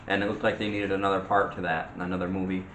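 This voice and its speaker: A man co-hosting a casual movie chat, giving opinions in a halting, rambling, repetitive way. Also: deep voice, level tone, fairly bright tone. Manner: halting, rambling, repeats himself. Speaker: co-host of a movie discussion show. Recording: roomy echo with faint background noise.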